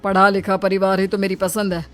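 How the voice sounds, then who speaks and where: A woman's voice: mid-range voice, monotone, a little fast, faint music behind, close to an everyday voice. A woman voicing a mild, fond father who placates others in a moral story. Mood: earnest. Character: mild ailing father of a talkative daughter. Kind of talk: acted moral story dialogue.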